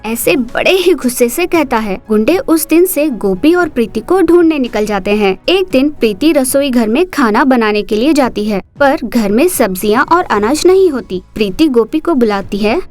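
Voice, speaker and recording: A woman narrating a cartoon story in a steady, expressive storytelling manner. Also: high voice, normal speed, hardly any pauses.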